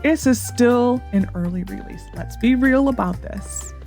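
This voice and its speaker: A young woman with a hoarse, sore voice, rambling through casual gameplay commentary with frustrated sarcasm. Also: a little high, a little slow, a little mellow, soft background music. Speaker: gaming YouTuber.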